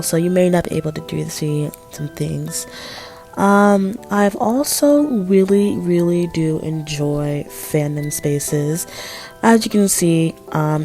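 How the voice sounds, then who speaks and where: Teen girl, hoarse and raspy from a cold, rambling art-vlog voice-over, mellow and low-set, faint music underneath. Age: teens. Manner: chatty, rambling, apologetic asides. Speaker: art vlogger. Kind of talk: casual voice-over narration.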